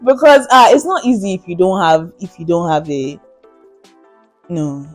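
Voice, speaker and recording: A woman's voice: lowish voice, sing-song, normal speed, dry recording, soft background music. A young woman with a Nigerian accent chatting casually, telling anecdotes in an emphatic way and often repeating phrases for stress. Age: young adult. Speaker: guest, international student. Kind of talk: casual chat, personal anecdotes.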